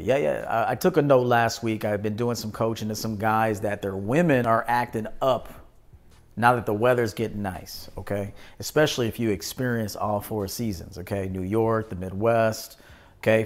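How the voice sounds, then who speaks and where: A man in his thirties hosting a live stream from a small indoor studio, casually reading and answering viewer comments. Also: mid-range voice. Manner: laid-back, shouting out viewers by name. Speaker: host.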